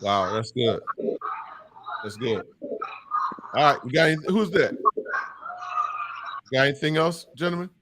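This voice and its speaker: A man's voice: medium pitch, a little slow, long pauses, a little hiss or hum, poor audio. A middle-aged man hosting a men's discussion, talking in an emphatic, preaching style that repeats and stresses its points. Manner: emphatic, repetitive, animated. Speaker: podcast host leading a men's discussion. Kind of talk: impassioned preaching-style talk.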